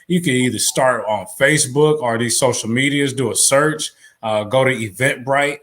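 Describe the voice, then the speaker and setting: A man as a guest on a live talk show, giving advice in a relaxed storytelling way, full of "you know.". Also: mid-range voice, normal speed. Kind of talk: casual advice chat.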